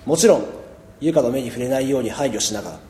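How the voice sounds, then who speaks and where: A man's voice: mid-range voice, expressive, quick pace, dark tone, slight background noise, lightly acted. Man playing a wry schoolboy, heavy reverb. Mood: dry, matter-of-fact. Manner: dry, mildly sarcastic. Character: laid-back, wry teenage high school boy narrating his life. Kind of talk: amateur radio drama narration and acting. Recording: echoey, long reverb.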